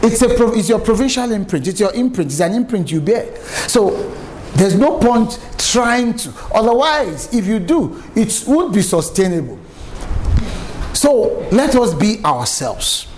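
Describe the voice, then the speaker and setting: A man's voice: high voice, sing-song, measured pace, darkish tone, a little hiss or hum, low-quality recording. A middle-aged Nigerian man from Lagos, giving an emphatic, story-filled lesson on public speaking. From Nigeria, raised in Lagos. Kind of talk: lively spoken lecture with anecdotes.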